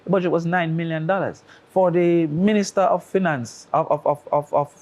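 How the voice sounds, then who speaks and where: A man's voice: a little high, steady tone, normal speed, a little mellow. Jamaican man arguing his point. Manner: argues with analogies and rhetorical questions. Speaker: studio guest. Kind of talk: opinionated talk-show commentary.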